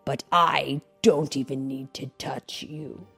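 A woman storyteller voicing an angry, determined male echidna hero who speaks in grave, forceful declarations. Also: rumbling low, steady tone, takes it slowly, vocal fry, husky, soft background music, voice pushed down, full character voice.

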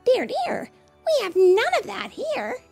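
A woman's voice: very high-pitched, wildly swooping pitch, takes it slowly, vocal fry, darkish tone, soft background music, voice pushed up, full character voice. A woman voicing merry, round little Christmas elves for a storybook, chirpy and exclamatory. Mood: dismayed.

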